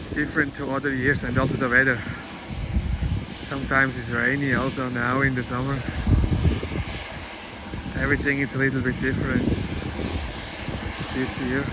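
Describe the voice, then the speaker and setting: Man chatting over loud jet noise. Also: mid-range voice, level tone, moderate pace, pauses often. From Switzerland. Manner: chatty, non-native phrasing, repeats words. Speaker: airport livestream host. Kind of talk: casual live-stream chat, reading viewer comments. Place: outdoors at an airport. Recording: loud outdoor airport engine noise. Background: aircraft engine noise.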